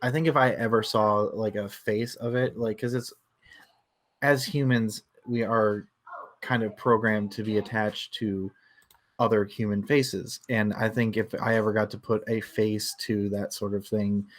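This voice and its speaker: A man hosting a casual book discussion, thinking aloud in a halting way and circling back over his phrases. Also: on the low side, flat delivery. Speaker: book club discussion host.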